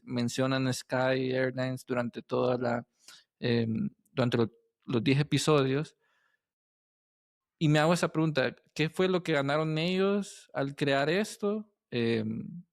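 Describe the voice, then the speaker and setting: A man's voice: medium pitch, no room sound, clean studio sound. A man hosting a podcast, asking casual interview questions in a hesitant, meandering way. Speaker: podcast host.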